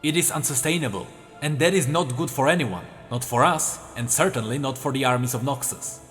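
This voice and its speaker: A man's voice: mid-range voice, fairly quick, fairly bright tone, big-room sound, faint music behind, natural voice. A man voicing a proud young nobleman who speaks in a refined, emphatic way.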